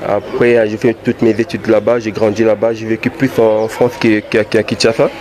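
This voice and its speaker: Halting Congolese-born man in street noise. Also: fairly low pitch, flat delivery. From Democratic Republic of the Congo. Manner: halting, repeats words often. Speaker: guest rapper. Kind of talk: casual interview.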